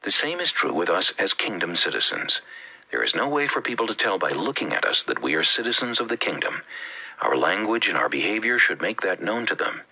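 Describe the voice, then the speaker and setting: A man from the Bahamas with a Bahamian accent, reading a religious teaching with measured, emphatic phrasing. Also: low-pitched, big pitch swings, creaky, bright, forceful tone, husky, poor audio. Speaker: preacher. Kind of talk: read-aloud religious teaching.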